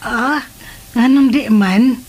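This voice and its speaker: High-pitched, childlike, simple-minded mother. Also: a little mellow. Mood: naive, eager. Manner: childlike, simple, slow phrasing with frequent giggles. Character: mentally unwell, childlike middle-aged mother, simple and clingy. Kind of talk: Philippine radio drama acting.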